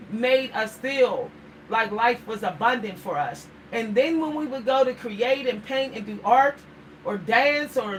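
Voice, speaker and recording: Rambling middle-aged woman, Pittsburgh accent. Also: fairly high pitch. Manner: rambling, repeats words. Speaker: vlogger. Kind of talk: casual livestream talk. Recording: distant mic, faint background noise.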